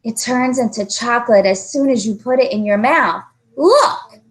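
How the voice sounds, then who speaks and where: A woman's voice: a little high, moderate pace, lightly acted. A woman voicing a smug, self-satisfied young boy in a storybook reading. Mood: pleased. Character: John, a smug young boy with a sweet tooth.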